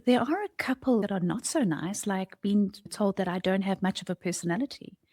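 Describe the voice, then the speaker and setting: A woman's voice: medium pitch, fairly quick, a little mellow, no echo, clean studio sound. A woman co-hosting a podcast, talking candidly and reflectively in a conversational style. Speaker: podcast co-host.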